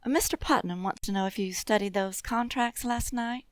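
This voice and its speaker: A woman's voice: mid-range voice, moderate pace, no echo, close to an everyday voice. A woman acting a polite, flustered office secretary in an old-style radio drama, with a hesitant manner. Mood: polite, puzzled.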